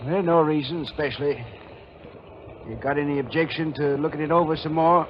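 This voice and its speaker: A male actor plays a burly sheriff from an old radio mystery. He is folksy and sly, asking short insinuating questions in a highish voice. The recording is muffled, with faint noise. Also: steady tone, fairly quick, close to an everyday voice. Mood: sly, probing. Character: burly small-town sheriff, slyly probing. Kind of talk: 1940s radio mystery acting.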